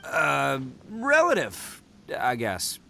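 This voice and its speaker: A man's voice: mid-range voice, wildly swooping pitch, very slow, creaky, pushed and bright, slight background noise, exaggerated voice. A man voicing a boastful, big-hearted young oni gang boss in a video game, cocky and theatrical. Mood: casual, confident. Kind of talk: English video game voice acting.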